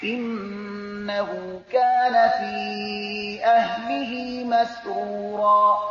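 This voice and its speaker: Very high-pitched man chanting Quran, echoing. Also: flat delivery, a bit bright, faint music behind. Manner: drawn-out, solemn chanting. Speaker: Quran reciter. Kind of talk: melodic chanted Quran recitation. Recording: echoey, slightly dull sound.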